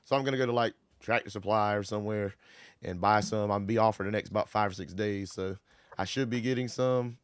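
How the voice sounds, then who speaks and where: Hoarse man hosting a chat stream. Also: fairly low pitch, fairly quick, dry recording, studio quality. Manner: relaxed, rambling, Southern-inflected "y'all". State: hoarse from a cold. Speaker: livestream host. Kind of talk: casual livestream chat.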